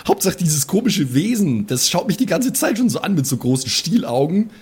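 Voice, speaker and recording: A rebellious young metalhead woman, played by a man in an improvised audio role-play. The voice is slightly husky, and the delivery is blunt, impatient and slangy. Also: on the high side, sing-song, normal speed, voice pushed up, full character voice. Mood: irritated. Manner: slangy, mild swearing, blunt. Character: rebellious twenty-two-year-old metalhead woman, brash and impatient.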